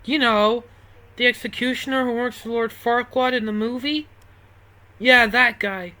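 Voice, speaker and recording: A woman's voice: on the high side, fairly even intonation, measured pace, rough recording. A woman narrating a video game review, sweary and exasperated, given to ranting outbursts. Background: music.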